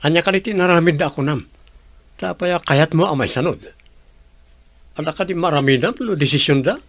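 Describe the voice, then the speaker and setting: An anxious young man in a radio drama. His voice sits fairly high and is recorded close and dry, with a questioning, pressing way of talking. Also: brisk, fairly bright tone, no echo. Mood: startled, questioning.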